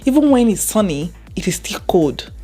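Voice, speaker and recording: A Nigerian woman in her thirties talks to her viewers in a warm, chatty vlog, often repeating herself for emphasis. Her voice sits at a middling pitch. Soft music plays faintly underneath. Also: very animated, normal speed, slightly rough. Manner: effusive, repeats phrases for emphasis. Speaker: vlogger. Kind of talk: casual chat to camera.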